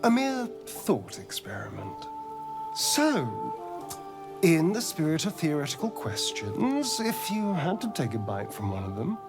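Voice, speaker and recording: Sly vampire, vain, flirtatious, unhurried, widely swooping pitch, video game drama. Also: male voice, huge pitch swings, loud music behind the voice, full character voice. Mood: coy, amused. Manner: theatrical, flirtatious, arch, drawn-out delivery. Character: sly, vain male vampire, centuries old, hungry for power.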